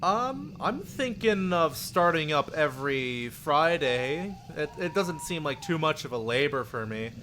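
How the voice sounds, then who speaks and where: A man chats casually on a live stream with clear noise in the recording. His pitch moves around a lot, though he takes his time. He rambles from thought to thought and chuckles easily. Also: lively intonation, a little slow, few pauses, sharp tone. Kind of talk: casual live stream chat with chat replies, some read-aloud story narration.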